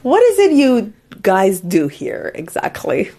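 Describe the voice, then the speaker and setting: A woman hosting a radio interview show, talking lively with her guests and laughing easily. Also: medium pitch, slow pace. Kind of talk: presenting and interviewing. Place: office at a broadcaster.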